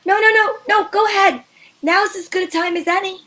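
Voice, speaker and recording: A woman's voice: extremely high pitch, fairly even intonation, a little fast, bright, forceful tone. A woman playing a feisty, wry heroine in an old-time radio show, with sassy, theatrical delivery. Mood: playful. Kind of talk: hobby old-time radio acting with first-person narration.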